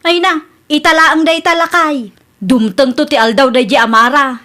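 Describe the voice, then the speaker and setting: A woman with an Ilocano Filipino accent playing a sharp-tongued, grumbling wife in a radio comedy drama. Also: high voice, steady tone, normal speed.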